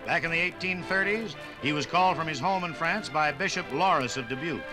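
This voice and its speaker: A man's voice: on the high side, brisk, rough recording. A man narrating a scripted 1950s radio documentary with dramatic, oratorical phrasing. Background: music.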